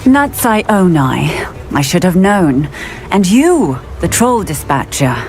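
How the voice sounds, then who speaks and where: A woman's voice: fairly low pitch, very animated, slow pace, darkish tone, slight background noise, heavily acted. A woman playing a protective, authoritative wizard police officer in a video game, with formal speech. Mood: stern, relieved.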